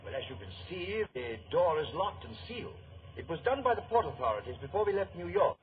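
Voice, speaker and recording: A man's voice: high-pitched, very animated, a little fast. An actor playing a cautious, dutiful male ship's purser in 1940s radio drama, speaking in a deferential, careful manner. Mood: helpful. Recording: hissy, phone-like 1940s radio recording.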